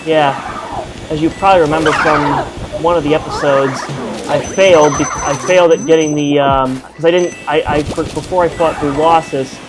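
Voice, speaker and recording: A man's voice: on the high side, fairly even intonation, normal speed, background noise. A man giving casual, rambling let's-play commentary through an earphone headset mic, full of fillers and self-deprecating asides. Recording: game music playing behind. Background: game music.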